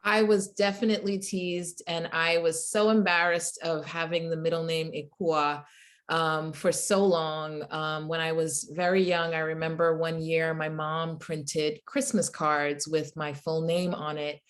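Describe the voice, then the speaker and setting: A woman with a notably low voice speaks as a guest author. She tells personal stories in a conversational, reflective way, with hesitant pauses between thoughts. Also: level tone.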